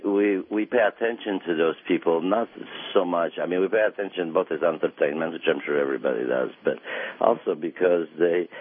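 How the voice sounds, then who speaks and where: A man's voice: low-pitched, breathy edge. A man with a Romanian accent, an author giving a wry, rambling radio interview over a phone line. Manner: wry, rambling, halting with many fillers. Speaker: author guest.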